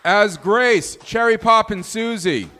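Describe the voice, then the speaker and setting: A man's voice: high voice, slow, bright, forceful tone, soft background music. A man hosting and introducing performers at a live stage show. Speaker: host and performer introducing the cast. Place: live venue stage with audience.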